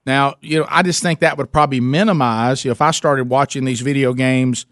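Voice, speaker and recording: A man's voice: medium pitch, fairly even intonation, brisk, fairly bright tone, no room sound, clean studio sound. A man hosting a call-in radio show, talking conversationally and circling back to rephrase as he explains his point. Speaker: radio talk show host.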